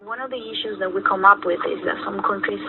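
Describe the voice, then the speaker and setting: A woman's voice: medium pitch, very animated, fast talker, creaky. A woman answering an interview as an expert, speaking conversationally. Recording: muffled lo-fi, loud music.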